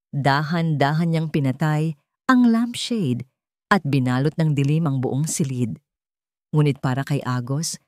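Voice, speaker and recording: Low-pitched Filipino woman narrating a dramatic story. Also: darkish tone, dry recording, clean studio sound.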